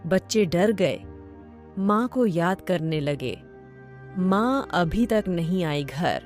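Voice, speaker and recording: A woman's voice: fairly low pitch, expressive, slow pace, a little mellow, soft background music. A woman reading scripted narration for a children's story.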